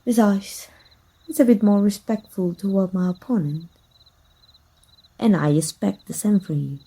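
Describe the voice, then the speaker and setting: A woman acts out a possessive villainess speaking intimately to her rival in an ASMR roleplay. Her voice is very mellow and breathy, at a middling feminine pitch. She teases softly and deliberately, with jealous menace underneath. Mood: possessive, coolly menacing.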